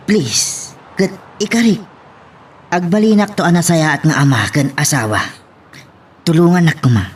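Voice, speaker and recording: A man's voice: on the high side, normal speed, long pauses, breathy edge. An actor playing a cheeky, eager suitor who drives a tricycle and pleads his case in a serial drama. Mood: pleading. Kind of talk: radio-style serial drama acting. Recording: faint background noise.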